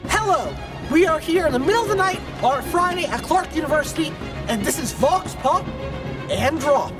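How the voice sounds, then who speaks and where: A woman hosting a street vox pop segment, bubbly and excitable. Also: high-pitched, moderate pace. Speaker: segment host. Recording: music underneath.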